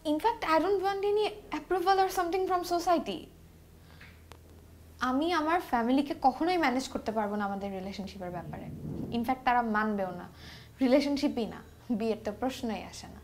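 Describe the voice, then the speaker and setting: Casting: woman playing young mistress in a TV drama, high voice, insistent, code-switches into English. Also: moderate pace, close to an everyday voice. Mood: frustrated. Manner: switches between Bengali and English. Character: insistent young woman in love with a married man. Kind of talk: naturalistic TV drama acting.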